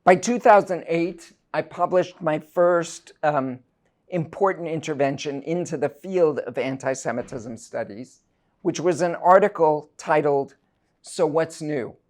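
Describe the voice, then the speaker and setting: A middle-aged man from South Africa, a university professor delivering a prepared lecture in a measured, deliberate, scholarly way. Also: on the high side, a little mellow. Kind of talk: prepared academic lecture, read aloud.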